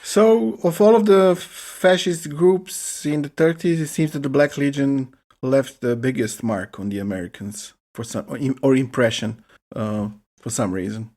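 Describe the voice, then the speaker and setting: A man co-hosting a podcast, chatting in a measured, dry manner. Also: medium pitch, darkish tone. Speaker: podcast co-host. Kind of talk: casual chat.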